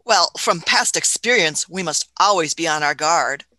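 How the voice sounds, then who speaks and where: Woman acting a fussy, commanding scientist, bright and animated. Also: deep voice, moderate pace, dry recording. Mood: indulgent, lofty. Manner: pompous, old-fashioned phrasing. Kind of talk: radio play acting.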